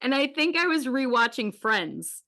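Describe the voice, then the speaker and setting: A woman's voice: high voice, sing-song, unhurried, sharp tone. A woman who writes and acts, telling stories in a casual chat and acting out quotes as she goes. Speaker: playwright and actor.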